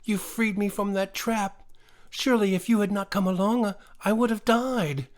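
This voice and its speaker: A man's voice: normal speed, lightly acted. A man doing a whiny, scared little creature. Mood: pleading, frightened. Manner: high pleading whine, panicky. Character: small scared creature with jagged teeth, whiny.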